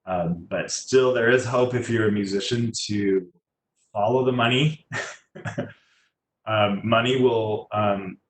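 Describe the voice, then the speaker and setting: A man giving informal career advice as a guest on a video call, speaking haltingly and often trailing off. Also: on the low side. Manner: hesitant, halting delivery with trailing phrases. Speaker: guest, landscape designer and choral musician. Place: indoor room on a video call. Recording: echoey room, faint background noise.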